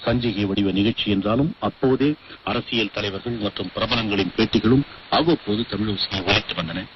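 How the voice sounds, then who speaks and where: Low-voiced man presenting on muffled shortwave radio. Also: a little fast, noisy background. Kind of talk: scripted documentary narration.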